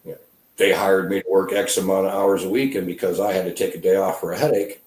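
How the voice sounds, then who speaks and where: A man in his fifties speaks in a very low voice, rambling and self-deprecating with lots of fillers. He sounds relaxed and conversational, as a guest telling his story. The room around him is noticeably echoey. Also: quick pace, a little hiss or hum. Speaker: guest, veteran. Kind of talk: casual podcast conversation.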